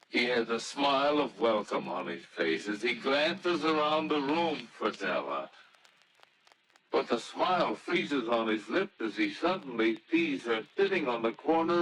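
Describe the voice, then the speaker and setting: A man narrating a scripted story in a theatrical, deliberate old-time radio style. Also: medium pitch, fairly bright tone, slight background noise, rough recording.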